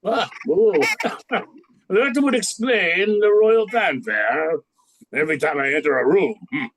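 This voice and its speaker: Man playing a slow, pompous, flustered king. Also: sits high, animated, a bit bright, heavily acted, rough recording. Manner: hesitant, pompous, blustering. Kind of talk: comic radio play acting.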